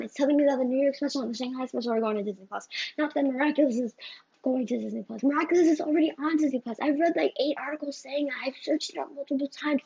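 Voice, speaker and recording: A woman's voice: high voice, gravelly, mellow. A woman vlogger ranting to the camera in a rambling way, often tacking on "right?" and "you know?", recorded on a phone. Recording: slightly muffled phone recording.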